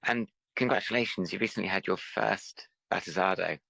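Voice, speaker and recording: A man hosting a podcast interview, welcoming his guest and asking polite, measured questions. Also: sits low, creaky, sharp tone, no room sound, rough recording. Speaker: podcast host. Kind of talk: interview host.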